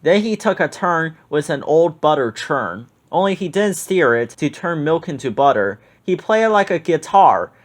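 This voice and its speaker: A man reading a children's book aloud in an expressive, lively way. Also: on the high side, normal speed, hardly any pauses.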